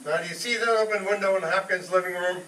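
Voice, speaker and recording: A man as a high-voiced, blustering hot-headed father. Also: fast talker, bright, forceful tone. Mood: scheming. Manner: pompous, indignant, theatrical. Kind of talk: old-time radio comedy acting. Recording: roomy distant stage recording, faint noise.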